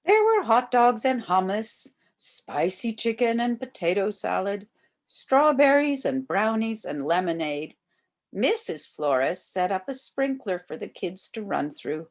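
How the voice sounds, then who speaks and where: Slow, lively older woman reading aloud. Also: medium pitch. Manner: slow, expressive delivery for young children. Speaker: volunteer story reader. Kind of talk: read-aloud children's storybook narration.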